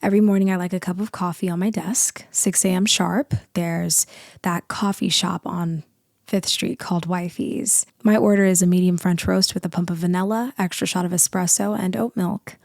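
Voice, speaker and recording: Low, creaky, commanding lady boss played by a woman. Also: fairly even intonation, dry recording, clean studio sound. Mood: brisk, authoritative. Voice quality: deep, slightly creaky, hushed in places. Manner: slow, deliberate, commanding, with weighty pauses. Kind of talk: ASMR roleplay acting.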